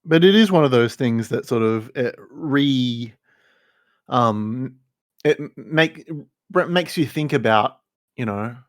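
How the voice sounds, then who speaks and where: A man's voice: mid-range voice, lively intonation, slow pace, no room sound, studio quality. A man with an Australian accent co-hosting a podcast, talking casually with wry jokes. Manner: wry, joking. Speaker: podcast co-host. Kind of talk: casual chat.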